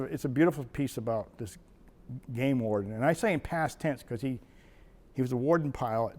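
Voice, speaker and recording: Older man, casual reminiscing, rambling, chuckles often, middle pitch. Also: darkish tone. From Maine, USA. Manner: rambling, chuckling storyteller with frequent restarts. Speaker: retired wildlife biologist. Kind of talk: reminiscing personal story.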